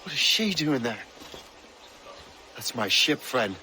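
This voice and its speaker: A man's voice: medium pitch, very animated, takes it slowly, slight background noise, full character voice. An actor playing a proud, guarded young male sailor from colonial times in a video game. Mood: determined. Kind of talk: dramatic video game cutscene acting. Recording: loud music behind.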